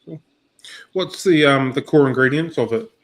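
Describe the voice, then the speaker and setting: Croaky easygoing male host. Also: mid-range voice, normal speed. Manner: easygoing, says cool a lot, hedging questions. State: croaky voice this morning. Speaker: livestream host. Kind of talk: casual interview questions.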